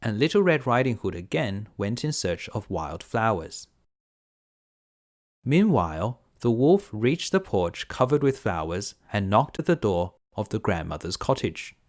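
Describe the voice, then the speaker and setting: A man narrating a children's fairy tale as a bedtime storyteller, in a measured, simple delivery. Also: on the low side, very animated.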